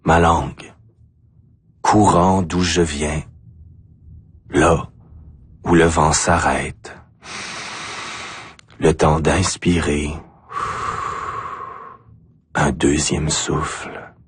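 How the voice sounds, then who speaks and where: A man with an Acadian French accent reciting spoken-word poetry deliberately, in short phrases with pauses. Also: extremely low pitch, level tone, slightly rough, dry recording. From Acadia, New Brunswick, Canada. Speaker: poet.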